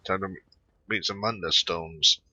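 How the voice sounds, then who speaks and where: A man doing casual let's play commentary, halting and rambling as he thinks aloud. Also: low voice, steady tone, bright, forceful tone, slightly rough, no echo, lo-fi sound. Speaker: gaming video host.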